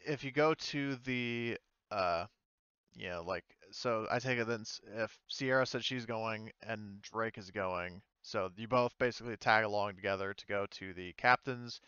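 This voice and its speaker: A man game master narrating a tabletop game off the cuff, speaking in halting pieces. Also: lowish voice, sharp tone, clear, resonant tone, dry recording, highs cut off.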